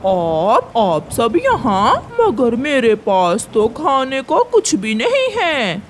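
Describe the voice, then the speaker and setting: High-pitched sly cartoon crow voiced by a woman. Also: huge pitch swings, extremely slow, slight background noise, exaggerated voice. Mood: exasperated. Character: scheming, whiny male crow, sly and easily flustered. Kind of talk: Hindi children's cartoon story acting.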